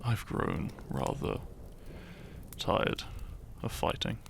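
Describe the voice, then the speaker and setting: A man acting a gravelly, shy young hero. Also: sits low, sing-song, a little hiss or hum, full character voice. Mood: wistful, earnest. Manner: soft, hesitant, halting, sighing and chuckling. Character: shy young hero and humble farmer, tenderly teasing. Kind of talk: intimate romantic audio roleplay acting, addressed to listener.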